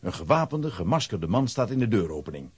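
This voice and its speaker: A man narrating a children's adventure story in a scripted, dramatic style, with a husky voice. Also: fairly low pitch, sing-song, a little fast, a little mellow, dry recording.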